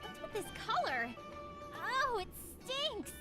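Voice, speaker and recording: Cheerful clumsy teen alchemist girl, anime game, high swoopy voice, loud music behind. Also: takes it slowly, heavily acted. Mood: busy, flustered. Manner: expressive, exclaiming, earnest. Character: cheerful, clumsy sixteen-year-old girl apprentice alchemist.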